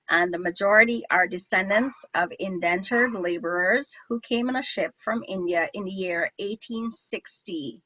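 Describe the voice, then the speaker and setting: A woman's voice: medium pitch, sharp tone, no echo, muffled recording. A woman from Guyana hosting an interview, chatting enthusiastically with many restarts. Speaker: show host. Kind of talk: casual interview chat.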